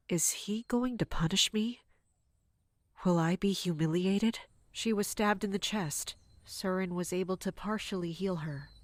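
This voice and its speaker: Female captain of a royal guard, played by an actress: dutiful, guarded, mid-pitched, formal drama delivery, dry sound. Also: dry recording, close to an everyday voice. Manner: formal, deferential. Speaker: voice actor in audio drama. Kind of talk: dramatic audio drama acting.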